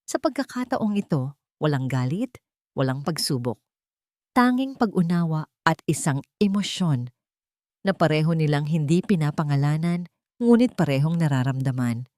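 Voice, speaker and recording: Female storyteller for romantic drama: low voice, very animated melody, sentimental delivery, close dry sound. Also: normal speed, dry recording. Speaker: storyteller narrator.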